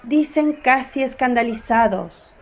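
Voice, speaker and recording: A woman narrating a radio drama in a measured, clear storytelling voice. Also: high-pitched, some chatter behind.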